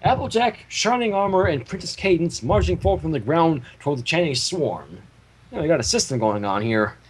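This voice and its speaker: A man reading fan fiction aloud as an animated story narrator, with casual asides. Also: fairly high pitch, normal speed, low-quality recording.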